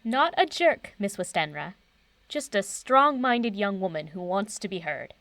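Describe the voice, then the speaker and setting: Eminent older female doctor, audio drama. Composed, kind, wry; lively intonation, dry close sound. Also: medium pitch, no room sound. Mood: understanding, reassuring. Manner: composed, measured, formal.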